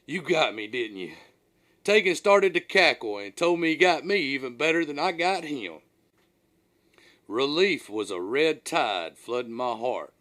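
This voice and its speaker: Expressive man narrating his own memoir. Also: mid-range voice. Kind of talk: scripted first-person audiobook narration.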